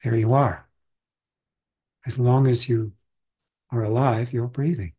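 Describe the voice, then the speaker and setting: A man from Austria, a meditation teacher, giving a calm, reflective and conversational Zen dharma talk. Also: medium pitch, slow, breathy edge.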